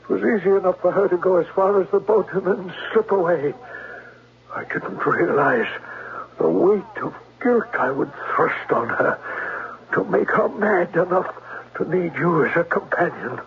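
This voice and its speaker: An actor playing a sinister, guilt-ridden murderer and master of a manor in a 1970s radio drama, speaking in a formal, menacing, deliberate way. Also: male voice, high-pitched, animated. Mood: cold confession. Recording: tinny, noisy old radio broadcast recording.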